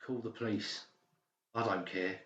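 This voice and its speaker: A man's voice: on the low side, steady tone, measured pace, a bit bright. A man playing a troubled, resigned young male patient in a dramatised audiobook. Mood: resigned, urgent. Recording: muffled, echoey home recording.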